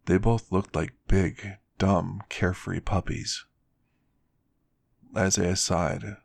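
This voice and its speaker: A man's voice: sits low, gravelly, no echo. A man narrating a scripted story in an ASMR style.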